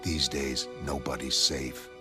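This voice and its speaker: Very deep, grim masked vigilante. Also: male voice, little pitch movement, slow, over music. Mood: flat warning. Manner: terse, clipped fragments. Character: grim masked vigilante, clipped low growling menace. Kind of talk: motion comic dramatic acting.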